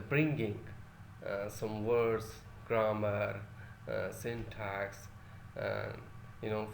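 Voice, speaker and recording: A man's voice: fairly low pitch, gravelly, roomy sound, a little hiss or hum. A male lecturer whose first language is Urdu, teaching a class with many fillers and restarts and putting questions to his listeners.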